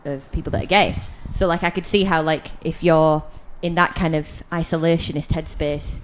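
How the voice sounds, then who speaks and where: A woman's voice: low voice, fairly even intonation, slight background noise, poor audio. A woman co-hosting a podcast in casual chat, teasing her co-host and asking probing questions. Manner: teasing, questioning, quick quips.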